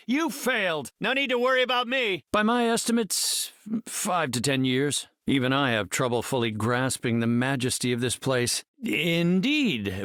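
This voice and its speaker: A video game superhero scientist, with a fairly high male voice that moves widely in pitch. He is earnest and courteous, choosing his words with measured, formal precision. The recording is dry and close. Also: a bit bright, no echo. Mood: confident, composed. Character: earnest, brainy heroic scientist leader, composed and courteous. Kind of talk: video game hero voice lines.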